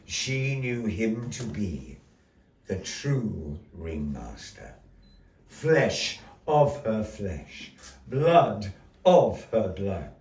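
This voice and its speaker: A man narrating a story theatrically as a live storyteller, declamatory and full of weighty pauses. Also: on the low side, sing-song, a little mellow. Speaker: storyteller, puppet show narrator. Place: indoor gallery performance space. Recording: echoey gallery room, distant mic.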